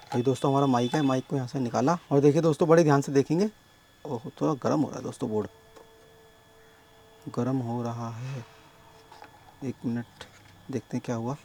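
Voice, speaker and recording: A man with a sore, hoarse throat explaining a speaker repair step by step at his workbench, often addressing viewers as friends. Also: medium pitch, darkish tone. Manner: explains slowly, keeps calling viewers friends. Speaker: electronics repair technician.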